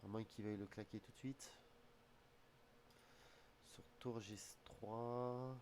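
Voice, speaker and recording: A man's voice: fairly low pitch, steady tone, slow, darkish tone, a little hiss or hum. A man with a slight head cold who streams his chess games, muttering his calculations aloud in half-finished phrases. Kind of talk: thinking aloud while playing online chess. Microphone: streaming desk mic.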